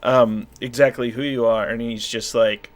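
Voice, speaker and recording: A young man running a tabletop game as game master, animated and laughing often. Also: medium pitch, normal speed. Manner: animated, laughs often, slips into quoted voices. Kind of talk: narrating a tabletop game over a call.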